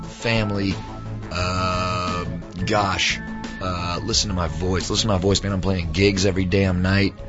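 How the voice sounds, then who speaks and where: A hoarse man, hosting a podcast from a recording studio in a lively, mock-grandiose, folksy way. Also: deep voice, unhurried, dull audio, over music. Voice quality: raspy. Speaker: podcast host. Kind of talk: casual chat.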